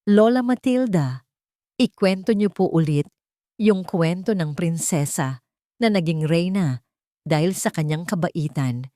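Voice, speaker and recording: A woman's voice: lowish voice, lively intonation, measured pace, pauses often, dark tone, dry recording, clean studio sound. A woman voicing a polite orphan child asking for a favorite story. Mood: eager. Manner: polite, eager.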